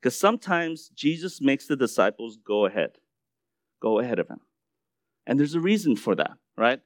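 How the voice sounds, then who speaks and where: A man's voice: mid-range voice, very animated, normal speed, no echo, studio quality. A middle-aged Korean American man sharing his story in a conversational sermon, often restarting his words. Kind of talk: sermon testimony.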